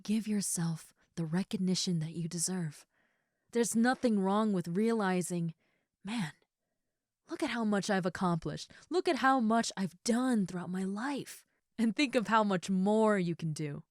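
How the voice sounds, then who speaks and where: Woman doing sweet big ogre girl roommate, lowish voice, soft n halting, super sincere comfort roleplay, really dry close sound. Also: no room sound, close to an everyday voice. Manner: soft, breathy asides, halting, tender, sincere. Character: gentle, affectionate giant female ogre roommate, shyly confessing. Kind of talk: intimate comfort roleplay audio.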